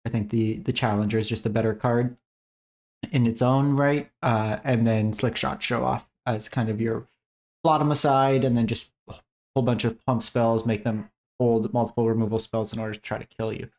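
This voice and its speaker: A man hosting a casual game strategy breakdown, his voice raspy as he is losing it, talking in a conversational way with many fillers. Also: mid-range voice, steady tone, moderate pace, a little mellow. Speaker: host. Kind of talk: casual gaming commentary.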